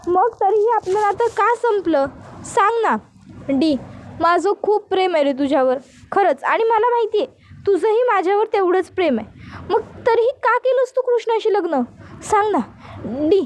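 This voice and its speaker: A woman's voice: very high-pitched, animated, moderate pace, pitched above the performer's own voice. A woman voicing a lovesick young woman who pleads with her former lover, repeating his name again and again. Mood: pleading.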